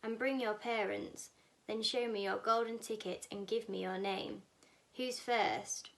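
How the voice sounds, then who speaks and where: A woman voicing an eccentric, effusive little gentleman who owns a factory, gushing and courteous, in a storybook reading. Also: medium pitch, fairly even intonation, a little slow, gravelly, rough recording. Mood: welcoming.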